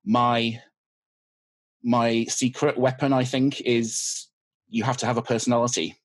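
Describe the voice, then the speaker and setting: A man's voice: medium pitch, little pitch movement, measured pace, dry recording. A man, a physics teacher talking as a guest in a casual interview, hesitant and dry, with many ums. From Yorkshire, England. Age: 40s.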